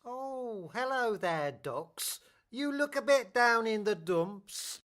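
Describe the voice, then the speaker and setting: A woman's voice: on the high side, lively intonation, slow pace, a bit bright. A woman playing a cheeky, gossiping working-class washerwoman in a radio play, chatty and familiar in manner. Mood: kindly familiar. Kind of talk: stage musical radio play acting.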